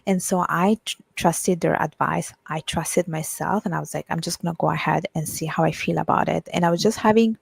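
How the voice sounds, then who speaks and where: A woman from Italy, a guest on a remote call, answering in a reflective, measured way. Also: low voice, creaky, husky, no echo. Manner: reflective, measured, with small restarts. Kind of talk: conversational interview answers.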